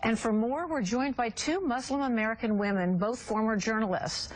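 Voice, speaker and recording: A woman anchor introducing guests and putting questions in a broadcast interview, in a measured, crisp way. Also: a little high. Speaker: news anchor.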